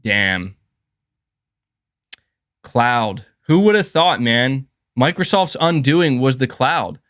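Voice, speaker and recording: A man's voice: medium pitch, lively intonation, slow pace, a bit bright. A man hosting a livestream in casual, chatty commentary, who often chuckles and says "dude" and "man". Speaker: livestream host.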